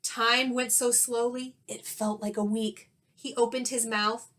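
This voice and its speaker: A woman teacher reading a children's story aloud expressively, with dramatic pauses and questions to young listeners. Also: on the high side, level tone, a bit bright.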